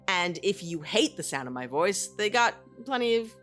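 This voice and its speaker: A woman chatting casually as a podcast co-host, halting and self-deprecating. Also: medium pitch, sing-song, fairly bright tone, faint music behind.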